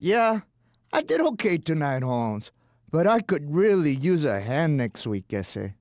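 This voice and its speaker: An actor playing a cocky, sly wrestler in a game cutscene, with a swaggering, slangy way of talking. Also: male voice, a little high, very animated, a little slow, a little mellow, no echo. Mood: sly, friendly. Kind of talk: video game cutscene acting.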